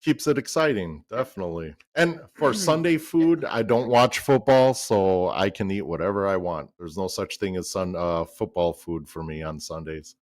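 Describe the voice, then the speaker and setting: A man's voice: deep voice, moderate pace, hardly any pauses. A man in his fifties chatting easily as a co-commentator on a darts stream. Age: 50s. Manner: chatty, easygoing storyteller. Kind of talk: casual chat on a stream.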